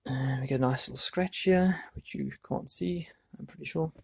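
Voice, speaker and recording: A man with a gravelly voice explaining a woodworking job casually, thinking aloud as he works. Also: mid-range voice, normal speed. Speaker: DIY woodworking video host. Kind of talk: casual hands-on tutorial talk.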